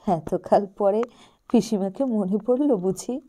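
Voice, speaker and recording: A woman's voice: medium pitch, slow, mellow. A woman voicing an older widowed aunt who dotes on her nephew and neighbour girl, speaking in an affectionate, coaxing way. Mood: tearful fond. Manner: affectionate, coaxing storybook dialogue.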